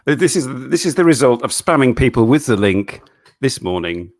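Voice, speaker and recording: A middle-aged Englishman hosting a casual live stream, answering viewer questions in a halting way with many restarts and frequent chuckles. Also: mid-range voice, big pitch swings. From England.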